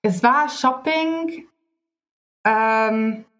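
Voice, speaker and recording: Woman, talks slow, lots of umms, guest on a chat podcast. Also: on the high side, fairly bright tone. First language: Italian. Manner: hesitant, searching for words with many fillers. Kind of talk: casual conversation.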